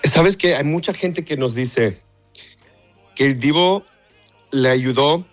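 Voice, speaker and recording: A man from Texas, half Mexican, an opera singer answering interview questions in Spanish with pauses, repeated words and stray English. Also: medium pitch, faint music behind. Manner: hesitant, searching for Spanish words, mixes in English.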